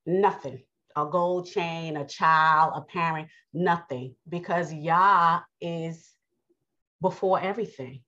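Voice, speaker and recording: A woman with a New York accent delivers an informal sermon in a low voice, speaking earnestly and pausing often between thoughts. The recording is slightly muffled and dull, like a simple home podcast setup. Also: sharp tone. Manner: earnest, unhurried, with many pauses and fillers. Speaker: podcast host and preacher.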